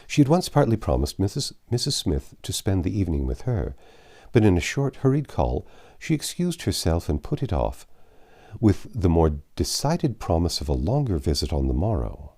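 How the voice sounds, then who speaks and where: A man's voice: low-pitched, very animated, normal speed, dark tone. A man reading a classic novel aloud as an audiobook narrator, in a scripted storytelling style.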